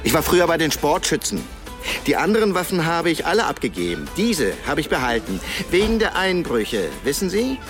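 Radio drama father: middle-aged, grieving, stiffly correct and determined, lively intonation, faint music behind. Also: fairly high pitch, brisk. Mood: tense, insistent. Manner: formal, correct, pointed. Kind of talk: naturalistic German radio drama acting.

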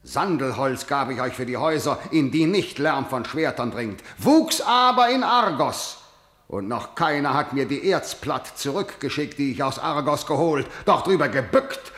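An actor playing a proud, harsh middle-aged tyrant in a verse radio drama, declaiming imperiously. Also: male voice, medium pitch, moderate pace, few pauses, fairly bright tone, roomy sound, close to an everyday voice. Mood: scornful.

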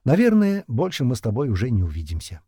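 A man voicing a quiet, sad, resigned young woman in an audiobook, with melancholy delivery. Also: mid-range voice, huge pitch swings, fast talker, breathy edge, no room sound, clean studio sound. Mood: sad farewell. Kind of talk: audiobook character dialogue.

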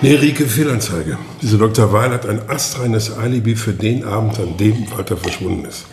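A man's voice: lowish voice, normal speed, vocal fry, a little mellow, faint music behind. A man playing a weary village policeman who talks in a hesitant, apologetic way, in a roomy space. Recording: roomy radio drama scene, faint ambience.

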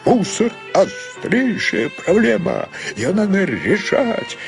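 A man voicing a menacing, commanding nightmare figure calling loudly and slowly from a ship, in a radio play. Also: high voice, sing-song, vocal fry, faint music behind, voice pushed up, exaggerated voice. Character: menacing commanding nightmare voice through a ship's megaphone.

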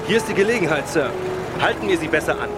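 An actor playing a deferential, matter-of-fact police subordinate in a radio crime play. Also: male voice, on the high side, expressive, fast, natural voice. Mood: dutiful. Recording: loud vehicle noise behind.

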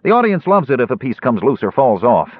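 A man plays a gruff fantasy arena trainer in an audiobook. He talks briskly and evasively with forced joviality, husky and animated, through a tinny narrow band. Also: medium pitch, dry recording, voice pushed up. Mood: gruff, forced cheer.

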